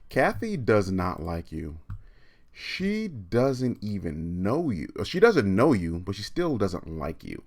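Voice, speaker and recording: A man hosting a livestreamed storytime, chatting informally and reading a children's book aloud with animated, encouraging delivery. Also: low-pitched, slow pace, gravelly.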